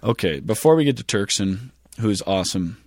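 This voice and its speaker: Man, 30s, lowish voice, podcast co-host, casual joking chat, stumbles on names, dry sound. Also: lively intonation, normal speed, darkish tone, dry recording. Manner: laughing, joking, stumbles over foreign names.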